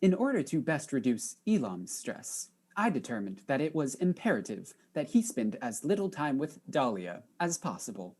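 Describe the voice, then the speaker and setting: A haughty robot butler, acted by a woman, with a very low, mellow voice that swings widely in pitch. The phrasing is formal, precise and literal, with cool insolence toward the humans it serves. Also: big pitch swings, moderate pace, hardly any pauses, breathy edge, heavily acted. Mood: cold, smug.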